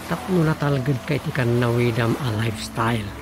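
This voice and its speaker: A man's voice: mid-range voice, moderate pace, lightly acted, poor audio. A man playing an easygoing, advice-giving fellow in an Ilocano radio drama comedy, with an earnest manner and an Ilocano accent. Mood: earnest, advising. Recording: music bed under the voice.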